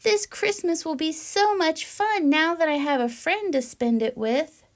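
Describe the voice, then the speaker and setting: An excitable, friendly squirrel fellow from a picture book, voiced by a woman reading aloud. He speaks high and eagerly, stretching out his welcoming exclamations. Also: a little slow. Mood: welcoming, happy.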